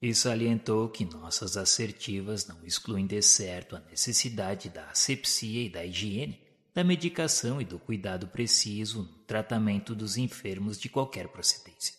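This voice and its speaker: Solemn low-voiced male narrator. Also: normal speed, hardly any pauses, a bit bright, big-room sound. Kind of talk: scripted narration of a spiritist radio drama.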